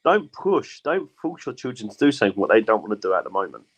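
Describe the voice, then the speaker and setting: A man's voice: on the low side, very animated, quick pace. A man on a podcast giving earnest advice in casual chat, with lots of "yeah". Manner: earnest, advising, says "yeah" a lot. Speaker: podcast guest.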